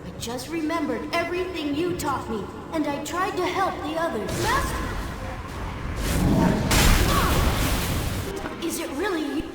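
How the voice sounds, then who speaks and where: An actress playing an earnest, brave young female student in a video game, with an eager, halting way of talking. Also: high voice, animated, heavy background noise. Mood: amazed, relieved. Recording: very echoey hall, distant, music behind.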